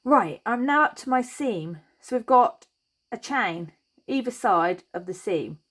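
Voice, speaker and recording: Woman, crochet tutorial host, chatty, reassuring, slightly croaky voice. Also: a little high, animated, a little slow, a bit bright. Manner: chatty and reassuring, thinks aloud while working. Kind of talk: step-by-step craft tutorial.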